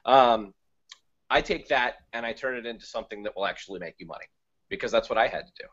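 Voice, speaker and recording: A man speaking casually on a video call, joking and self-deprecating as he pitches his talk, sounding a little groggy. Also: fast, fairly bright tone, breathy edge. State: groggy, just woke up. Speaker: event speaker. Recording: muffled lo-fi call audio.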